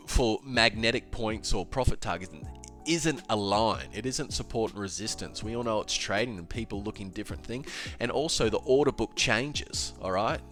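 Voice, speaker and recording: Male host, lowish stuffy voice, animated casual market talk, faint music behind. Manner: animated, emphatic, with filler and restarts. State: congested, slightly nasal. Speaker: crypto trading educator. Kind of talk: casual solo video talk.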